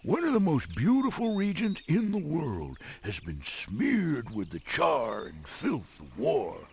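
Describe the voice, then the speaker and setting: An actor playing a blustery, folksy, wisecracking mission briefer in theatrical, grandiose train game cutscenes. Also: male voice, on the high side, huge pitch swings, slow pace, gravelly, slightly rough, full character voice. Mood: grave.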